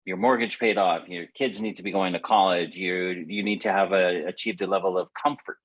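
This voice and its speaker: A man's voice: deep voice, fairly even intonation, a little fast, a bit bright. A middle-aged man hosting a casual, reflective conversation, rambling and circling back to rephrase as he goes. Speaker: podcast host.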